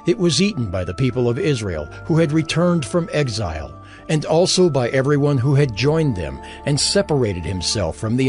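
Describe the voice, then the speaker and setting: A man narrating an audio Bible in a measured, solemn storytelling manner. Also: medium pitch, darkish tone. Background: music.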